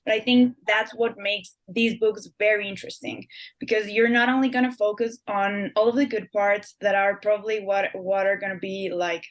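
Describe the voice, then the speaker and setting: A woman's voice: medium pitch, fairly even intonation, moderate pace. A young woman from Ecuador, a guest author answering interview questions in a chatty way, with many fillers and restarted words.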